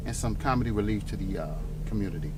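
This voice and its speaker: A man's voice: on the low side, fast, background noise. A middle-aged man from South Florida, a comedian and corrections officer, chatting casually in an interview and often saying "you know what I mean". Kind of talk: casual interview in a patrol car. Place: inside a moving car.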